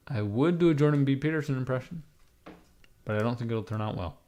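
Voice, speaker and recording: A raspy-voiced man livestreaming from his computer, rambling casually to his chat, swearing and talking to himself as he types. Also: mid-range voice, quick pace, dark tone. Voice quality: raspy, gravelly. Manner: rambling, swearing, talks to chat while typing.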